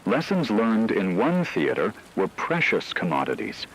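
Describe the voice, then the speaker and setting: A man reading dramatic scripted fiction narration in a solemn, measured way. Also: on the low side, huge pitch swings, slightly rough, rough recording.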